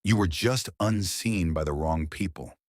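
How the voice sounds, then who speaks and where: A man voicing a quietly haunted female police captain who speaks softly and tenderly in an audiobook. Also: low-pitched, unhurried, no echo, studio quality. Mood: tender, consoling. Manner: soft, hushed, tender.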